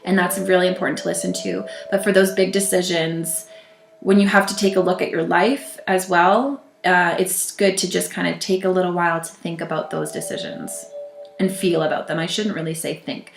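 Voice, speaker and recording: A woman with a groggy, slightly low voice talks through a weekly tarot reading. She is gentle and reassuring, pausing and restarting her sentences. The room around her sounds echoey. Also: fairly even intonation, creaky, faint music behind. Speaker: tarot reader.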